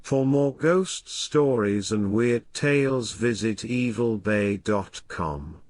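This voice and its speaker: A man playing a learned, formal professor who narrates literary horror in a grave, measured manner. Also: on the low side, darkish tone, no room sound. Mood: formal, courteous. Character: learned male professor reading weird tales, grave and formal. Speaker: narrator and host.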